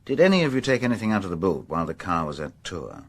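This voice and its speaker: An actor playing a methodical police inspector in a radio crime drama, asking measured, polite, probing questions. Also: male voice, low-pitched, sing-song, heavily acted.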